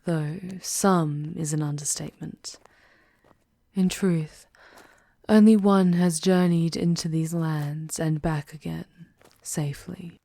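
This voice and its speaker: A woman playing a world-weary demon queen in a scripted ASMR roleplay, speaking softly and formally, often slipping into breathy whispers. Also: low-pitched, fairly even intonation, no echo, heavily acted. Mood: wistful, hushed. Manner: soft, breathy, slow and deliberate, often dropping to whispers; formal, archaic theatrical diction. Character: regal, world-weary demon queen guiding a lost wanderer.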